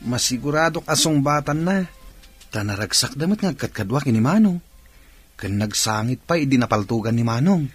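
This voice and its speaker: An actor playing a confident, chatty older brother in an Ilocano radio drama, a young man with a husky voice and a Filipino Ilocano accent. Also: medium pitch, expressive, brisk, soft background music.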